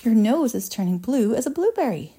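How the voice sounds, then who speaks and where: A woman's voice: medium pitch, wildly swooping pitch, unhurried, creaky, warm tone, husky, exaggerated voice. A woman voicing an overbearing, panicking mother in a children's story, shrieking her exclamations. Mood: horrified. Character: shrill, panicking, overbearing mother of a young girl.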